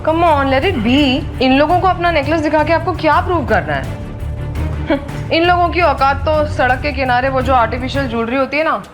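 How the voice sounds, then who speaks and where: A woman's voice: high voice, lively intonation, moderate pace, music in the background. An actress playing a spoiled, snobbish young rich woman in an audio drama, sneering and dropping English phrases. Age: young adult. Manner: sneering, code-switches into English. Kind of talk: Hindi audio drama acting.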